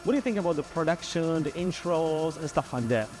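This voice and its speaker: Higher-voiced male festival interviewer. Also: lively intonation, darkish tone, faint music behind. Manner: friendly, repeats thanks, halting questions with you know.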